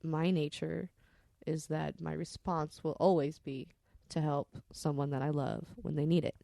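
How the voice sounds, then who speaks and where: A woman's voice: low-pitched, little pitch movement, unhurried, gravelly, dark tone, dry recording, studio quality. A woman podcast storyteller telling personal stories in a candid, rambling, salty-tongued way.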